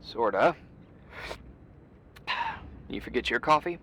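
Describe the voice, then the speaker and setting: Wry, animated, evasive guy. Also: mid-range voice, slow pace, exaggerated voice. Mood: wary, offhand. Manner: hesitant, offhand, wry. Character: casual, wry, evasive male confidant, mildly skeptical. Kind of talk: naturalistic video game dialogue.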